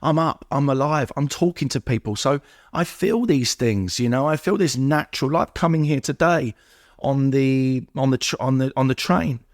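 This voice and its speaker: A man's voice: medium pitch, normal speed, no room sound, clean studio sound. A middle-aged man, speaking as a podcast guest and telling personal recovery stories, acting out what people said. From England.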